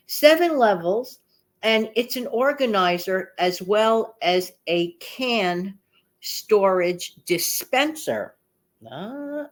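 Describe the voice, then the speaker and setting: A woman with a slightly nasal voice hosting a casual, chatty vlog, speaking emphatically and repeating phrases for effect. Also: medium pitch. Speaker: channel host.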